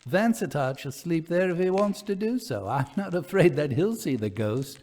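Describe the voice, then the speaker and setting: A man's voice: fairly high pitch, lively intonation, moderate pace, a little mellow, pitched above the performer's own voice, lightly acted. A man playing a polite but stubborn country-house host in a told story. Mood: firm, polite. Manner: polite, firm. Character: obdurate genial male country-house host.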